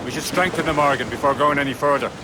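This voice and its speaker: Loyal male first mate, played by a man, slightly high voice, matter-of-fact, clear sea noise behind. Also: steady tone, fast talker, close to an everyday voice. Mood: dutiful. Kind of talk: video game dialogue.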